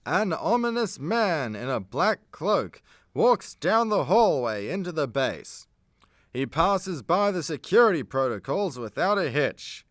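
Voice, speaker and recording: A man's voice: on the high side, sing-song, unhurried, fairly bright tone, no room sound, studio quality. A man reading scripted narration as the narrator of a comedy radio play.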